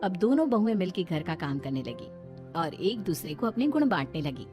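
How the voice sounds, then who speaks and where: A woman's voice: on the low side, very animated, normal speed, over music. A woman reading a scripted moral family story as its narrator.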